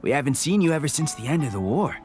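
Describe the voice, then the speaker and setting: Friendly, earnest veteran soldier. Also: male voice, on the high side, sing-song, quick pace, over music. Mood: fond, reassuring. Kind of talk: anime video game voice acting.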